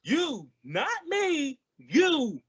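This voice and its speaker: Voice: high-pitched, huge pitch swings, very slow, highs cut off, full character voice. A performer playing a bumbling, easily confused male sidekick in an old-time radio comedy, full of wisecracking retorts. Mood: baffled.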